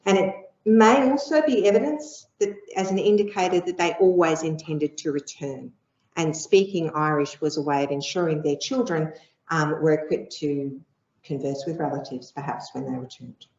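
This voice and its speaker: A middle-aged woman giving an academic lecture with slides, measured and explanatory, pausing on ums. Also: low voice, darkish tone. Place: lecture room. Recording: echoey room, dull lo-fi sound.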